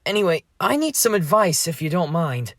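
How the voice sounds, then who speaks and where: A man's voice: fairly high pitch, big pitch swings, moderate pace, no echo, studio quality. A man voicing an anxious, whiny thirteen-year-old boy in an amateur fandub, exasperated and hesitant. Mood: worried.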